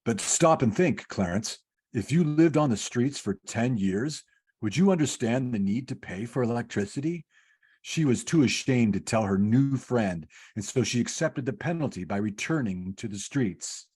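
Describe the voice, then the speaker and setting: A man playing a calm, patient senior angel who explains things in the scripted style of an old-time radio play. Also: mid-range voice, normal speed, slightly rough. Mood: reassuring. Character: senior male angel Joseph, calm, patient, instructive overseer.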